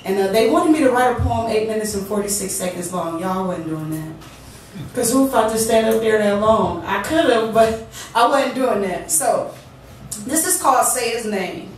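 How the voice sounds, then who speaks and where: A woman delivers spoken word with rhythmic emphasis, chatting casually between pieces. Her voice sits fairly high. She is caught from a distance in a strongly echoing room with a faint hum underneath. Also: lively intonation, normal speed. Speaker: featured poet. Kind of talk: spoken word poetry with casual stage banter. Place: living-room poetry venue. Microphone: room recording from a distance.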